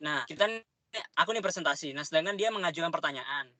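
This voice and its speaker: Young man, bright tone, fairly high pitch, chatty, slangy, interview answers. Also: animated, quick pace, dry recording. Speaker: interviewee, trader. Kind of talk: casual interview chat.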